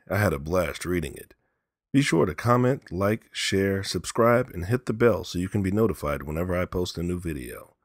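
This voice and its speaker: Guy host talking casually to listeners, husky voice, very dry close recording. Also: low-pitched, no room sound. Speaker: story reader and series host.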